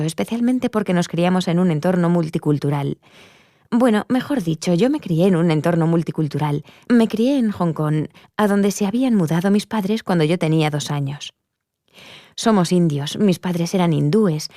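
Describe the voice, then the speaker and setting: A woman's voice: fairly low pitch, mellow, no echo, clean studio sound, lightly acted. A woman voicing an earnest, reflective woman giving a personal testimony in a dubbed interview. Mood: reflective, candid. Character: earnest adult woman recounting her near-death experience.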